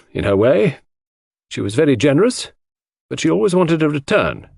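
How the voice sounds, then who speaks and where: Audiobook role: gruff, indignant, loyal Englishwoman played by a man, raised pitch, blunt clipped delivery. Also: no room sound, clean studio sound, heavily acted. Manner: clipped, blunt, forceful sentences. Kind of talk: audiobook character voice.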